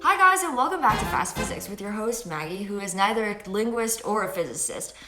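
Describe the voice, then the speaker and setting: A woman's voice: medium pitch, lively intonation, brisk, loud music behind the voice. A fifteen-year-old girl hosting an educational video, explaining physics in an upbeat, chatty, self-deprecating way while seated indoors in front of a fixed camera. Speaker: host. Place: indoor room with window blinds. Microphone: fixed camera at chest-up framing. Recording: echoey small room.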